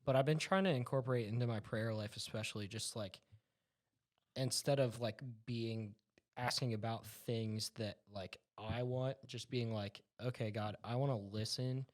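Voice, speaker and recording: A man's voice: mid-range voice, fairly even intonation, moderate pace. A man chatting casually about faith, searching for words and peppering his sentences with "like".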